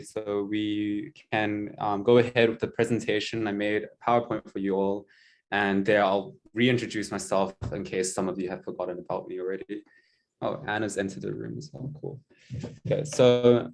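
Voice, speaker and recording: A young man with a New Zealand accent presents over a video call in a relaxed, chatty way, teasing himself and filling pauses with ums. His voice sits fairly low. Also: slight background noise. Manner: chatty, self-mocking, many ums. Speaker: guest presenter, tutor. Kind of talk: casual online presentation.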